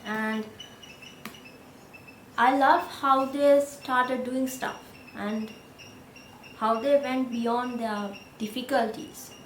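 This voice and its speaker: A woman in her thirties with a Sri Lankan accent, whose first language is Sinhala, chatting casually to the camera in a gentle, unhurried way. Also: fairly high pitch, steady tone, echoey room, a little hiss or hum, poor audio. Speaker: vlogger.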